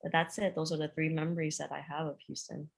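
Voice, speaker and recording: Low-pitched woman in her late 20s, podcast guest, chatty and a bit hesitant. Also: little pitch movement, fast talker. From Georgia, USA. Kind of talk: casual chat.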